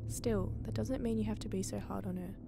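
A woman playing a kind, protective spaceship crew member in an audio drama, talking in a gentle, reassuring and chatty way. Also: medium pitch, steady tone, brisk, vocal fry, music in the background, close to an everyday voice.

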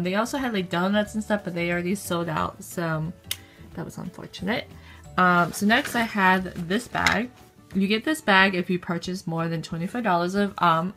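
A woman's voice: medium pitch, bright, forceful tone. A young woman chatting upbeat in a casual haul vlog, filmed on a phone at arm's length in a bedroom. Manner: chatty and upbeat, with frequent ums. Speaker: vlogger. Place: indoor bedroom, close to camera. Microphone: phone camera at arm's length.

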